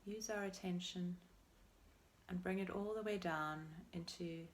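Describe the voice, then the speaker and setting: A woman leading a guided meditation as a teacher, speaking in a gentle, unhurried and soothing way with pauses between phrases. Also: lowish voice, steady tone, slight background noise. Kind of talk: guided meditation, body scan.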